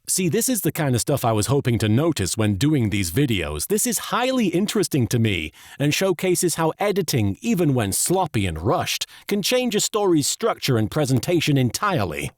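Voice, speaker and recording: Lively, wry man narrating a comedic review. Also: on the high side, no echo, studio quality. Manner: wry, sarcastic. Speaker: host reviewing TV episodes.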